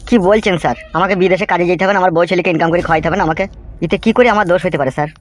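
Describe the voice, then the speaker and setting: A man's voice: high voice, fairly even intonation, rapid-fire, slight background noise, natural voice. A man voicing an aggrieved, bewildered young working husband in a comedy cartoon, pleading and protesting. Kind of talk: Bengali comedy cartoon dubbing.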